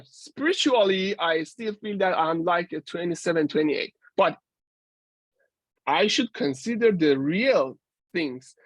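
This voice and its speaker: A man's voice: high-pitched, fairly bright tone, dry recording, low-quality recording. A man in his forties giving a university guest lecture, explaining ideas step by step with frequent restarts and fillers. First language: Persian. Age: 40s. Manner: halting, with many restarts and fillers.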